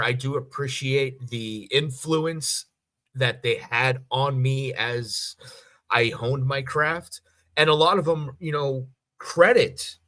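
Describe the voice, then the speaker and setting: A man, middle-aged, in a casual podcast discussion, reasoning aloud in a halting way and rephrasing as he goes. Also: medium pitch, sharp tone, no echo. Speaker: podcast co-host.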